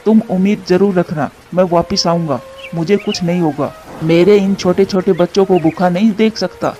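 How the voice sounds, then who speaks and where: Dark, high-pitched devoted father pigeon played by a man. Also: normal speed, slight background noise, natural voice. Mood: determined, emotional. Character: devoted, brave father pigeon, protective and determined. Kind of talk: Hindi moral cartoon dubbing. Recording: dull lo-fi sound with music.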